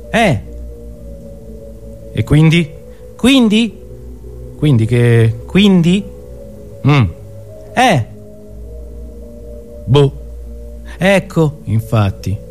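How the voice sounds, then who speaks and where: Guy doing a theatrical monologue, high voice that swings a lot, broken phrases, music under it. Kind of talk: dramatic radio monologue.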